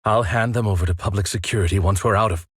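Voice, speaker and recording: An actor playing a stoic, honorable protector in a video game, formal, restrained and earnest. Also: male voice, fairly low pitch, fast talker, a bit bright, husky. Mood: stern, composed. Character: stoic, honorable male protector, polite and principled. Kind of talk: dramatic video game voice acting.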